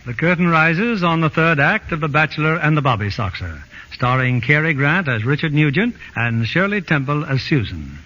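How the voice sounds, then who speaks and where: A man's voice: on the high side, very animated, moderate pace, noisy background. A man hosting and narrating a radio theater show in scripted 1940s style. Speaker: host and producer. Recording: muffled old radio recording, music behind.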